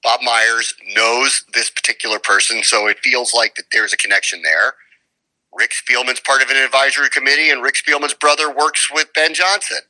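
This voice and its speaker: A man's voice: mid-range voice, fairly even intonation, moderate pace, pushed and bright, rough recording. A man giving conversational sports commentary as a radio guest, emphatic and opinionated, often posing rhetorical questions.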